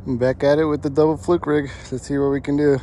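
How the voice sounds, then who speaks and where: A man's voice: a little high, fast talker. Young man vlogging outdoors by a pond. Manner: relaxed, chatty, explains what he is doing. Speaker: fishing vlogger. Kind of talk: casual outdoor vlog narration. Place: outdoors by a pond on a windy day. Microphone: camera-mounted mic, point-of-view and selfie framing. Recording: faint wind and outdoor noise.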